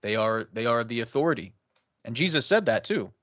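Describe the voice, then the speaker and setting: A man's voice: medium pitch, lively intonation, fairly quick, a bit bright, no room sound, dull audio. Casual male podcast host, dry sound. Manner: conversational, laughs while telling stories. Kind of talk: casual religious discussion.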